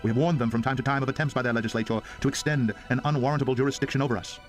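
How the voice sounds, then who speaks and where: A man with a mid-range voice delivers a formal, impassioned dramatic reading. His oratory is solemn and stately, and music plays clearly behind him. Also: medium pitch, steady tone, racing. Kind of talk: dramatic reading of a historical declaration.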